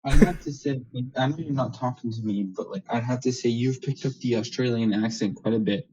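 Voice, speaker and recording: A man's voice: mid-range voice, fairly quick, mellow, slightly rough. A man with a Canadian accent chatting casually, rambling and hesitant, often playing himself down. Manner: rambling, hesitant, self-deprecating. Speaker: guest. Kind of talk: casual online chat. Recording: slightly dull lo-fi audio.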